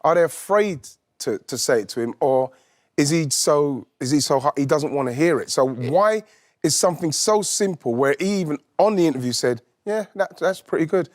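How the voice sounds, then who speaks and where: A man chatting casually on a football panel, building his points haltingly with repeated phrases. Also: on the high side, animated, no echo, studio quality.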